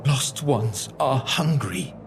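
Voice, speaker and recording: A man's voice: medium pitch, lively intonation, gravelly, slight background noise, pitched above the performer's own voice. A man voicing a servile, feral mutant pack leader in an audio drama, struggling to form each word. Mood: hungry, pleading. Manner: halting, laboured speech, struggling to form words. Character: feral mutated alpha neophyte, servile, bestial, slow-witted.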